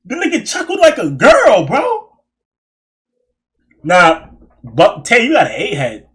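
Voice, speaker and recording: A young man streaming, reacting loudly with laughs and profane slang. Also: sits high, wildly swooping pitch, slow. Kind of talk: loud reaction commentary.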